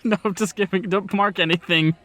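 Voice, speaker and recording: A man with a fairly high voice runs a tabletop role-playing game. He talks casually, teasing his players and narrating scenes off the cuff. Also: steady tone, brisk, a bit bright, no room sound. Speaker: game master.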